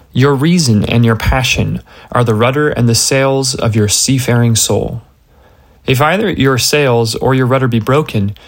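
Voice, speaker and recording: Man reading an article aloud, measured. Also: mid-range voice. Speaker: article narrator. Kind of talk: scripted narration of a magazine article.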